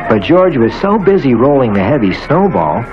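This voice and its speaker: A man narrating a children's cartoon story in an expressive, scripted storytelling manner. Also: medium pitch, moderate pace, over a noisy crowd, heavy background noise. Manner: expressive storytelling, acts out the characters' quotes. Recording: dull lo-fi old cartoon soundtrack, loud music.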